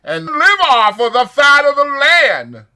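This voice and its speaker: A man performs a wiry, bossy drifter in an audiobook story. The voice is lifted and lively, with brisk, insistent, folksy talk and an irritable, protective edge. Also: very high-pitched, voice pushed up, exaggerated voice. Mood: dreamy, animated. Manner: brisk, insistent, colloquial delivery. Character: small, sharp, irritable male ranch drifter, bossy yet protective.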